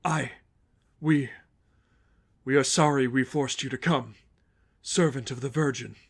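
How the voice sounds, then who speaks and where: A man's voice: medium pitch, steady tone, a little slow, husky. Casting: male reader as fanatical male follower in a dramatic story, fearful, reverent, prone to stammering. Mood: contrite. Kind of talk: dramatic audiobook-style fan fiction reading.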